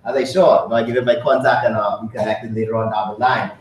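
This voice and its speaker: A young Bahamian man tells his story fast and eagerly, laughing between lines. He sits far from the mic in a large room that rings with echo, with a faint hiss underneath. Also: medium pitch. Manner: excited, laughing, quick storytelling. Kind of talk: testimonial talk at a business meeting. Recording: echoey hall from far off.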